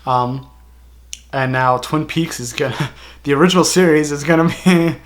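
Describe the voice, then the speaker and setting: A man hosting a casual fan discussion, speaking in a halting, rattled and intense way. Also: fairly high pitch. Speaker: video host.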